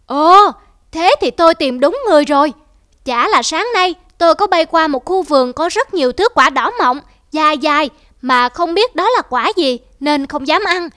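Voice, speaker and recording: A woman's voice: high voice, expressive, moderate pace, no room sound, studio quality. A woman voicing a clever parrot in a cartoon who politely outwits a bully. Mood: sly. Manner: polite, sly, coaxing. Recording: voice over loud music.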